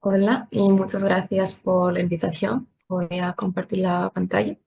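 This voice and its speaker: A woman's voice: mid-range voice, flat delivery, moderate pace, vocal fry, a little mellow, breathy edge, highs cut off. Woman presenting in careful Spanish. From Greece. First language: Greek. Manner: careful, apologetic about her Spanish. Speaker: international coordinator of a nonprofit. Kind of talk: online presentation while sharing a screen.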